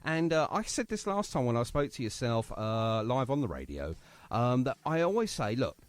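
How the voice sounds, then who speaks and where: A man's voice: mid-range voice, sing-song, moderate pace, smooth. A British man hosting a casual radio interview, chatty and jokey, often exclaiming. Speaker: radio show host.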